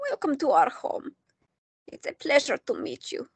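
A woman's voice: high-pitched, normal speed, no room sound. Woman playing a wry older Greek-born grandmother. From Greece. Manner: calm, dry, teasing.

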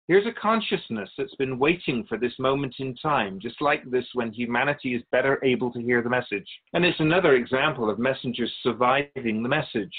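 A man playing a wise, wry otherworldly keeper of souls in a radio drama, speaking in a measured, musing, instructive way. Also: medium pitch, close to an everyday voice. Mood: approving, reflective. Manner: measured, didactic, musing pauses. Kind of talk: radio drama dialogue in interview form. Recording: dull, lo-fi sound.

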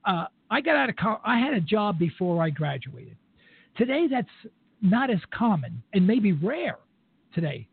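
A man's voice: high voice, very animated, moderate pace, vocal fry, husky. An older man interviewing a guest in an opinionated, rambling way, chuckling as he goes. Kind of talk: conversational interview. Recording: tinny phone line.

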